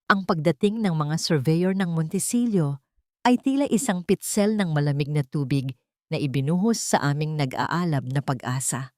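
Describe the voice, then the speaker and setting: A low-voiced woman with a Filipino accent reads a dramatic first-person story. Her pitch moves widely from phrase to phrase, and the recording is close and dry. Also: darkish tone, no room sound. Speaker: audiobook narrator.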